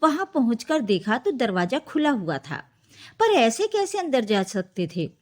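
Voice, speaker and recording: A woman's voice: on the high side, sing-song, unhurried, darkish tone. A woman storyteller reading a scripted emotional story.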